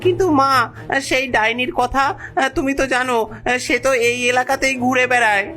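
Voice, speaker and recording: A woman's voice: high-pitched, normal speed, sharp tone, faint music behind. A woman voicing a small, anxious, obedient baby monkey in a cartoon. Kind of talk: cartoon character dialogue.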